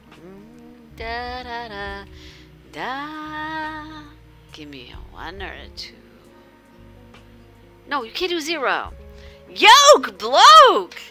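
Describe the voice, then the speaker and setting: A woman hosting a livestream, mixing playful chat with theatrical story narration and dropping at times into whispers. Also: high-pitched. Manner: animated, theatrical, drops into whispers. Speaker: livestream host reading a visual novel with chat.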